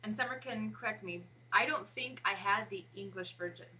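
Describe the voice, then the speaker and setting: A woman in her forties, an interview guest, talking haltingly and often pausing to search for words. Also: medium pitch, pushed and bright, low-quality recording. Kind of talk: casual interview chat.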